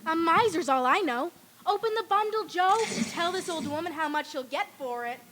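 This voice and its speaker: A woman's voice: extremely high pitch, expressive, moderate pace, fairly bright tone, a little hiss or hum. A woman playing a brazen, gleeful old scavenger woman in a staged radio play reading.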